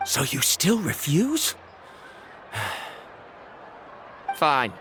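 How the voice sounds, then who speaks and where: A proud, formal, expressive young scholar. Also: male voice, on the high side, extremely slow, heavily acted. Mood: resigned, stubborn. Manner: formal, precise, slightly stiff. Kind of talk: anime video game voice acting. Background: music.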